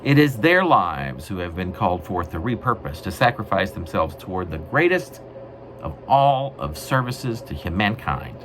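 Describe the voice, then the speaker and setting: A man reading his own poetry in a wry, mock-grandiose way, with deliberate, literary phrasing. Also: sits low, big pitch swings, fairly bright tone. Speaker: poet reading own work. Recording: noisy amateur recording.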